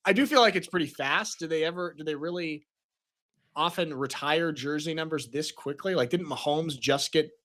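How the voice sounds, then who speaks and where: A man's voice: on the high side, moderate pace, a bit bright. A man in his forties hosting a sports podcast, chatty and joking, rambling along with fillers. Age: 40s. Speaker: podcast host. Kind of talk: casual sports podcast chat.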